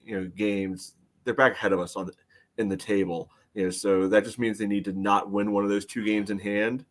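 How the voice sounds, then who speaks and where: A man's voice: on the low side, level tone, a little fast. A man on a soccer podcast panel, giving casual, conversational analysis.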